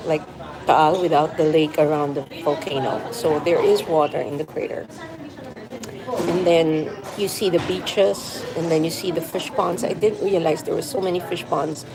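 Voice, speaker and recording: Middle-aged woman from the Philippines, casual live-stream host, slightly low voice, talking through loud café chatter. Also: rough recording. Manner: chatty, rambling, reads viewer comments aloud. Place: busy coffee shop. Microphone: phone on a mobile hotspot. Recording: crowded café chatter behind. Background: café crowd chatter.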